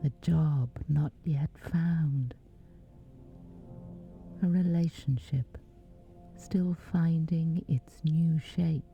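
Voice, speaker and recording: Woman, sleep meditation, breathy hush, extremely slow delivery, long pauses, slightly muffled recording. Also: low-pitched, steady tone. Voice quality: whispery, breathy. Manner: slow, hushed, pausing between short phrases. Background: background music.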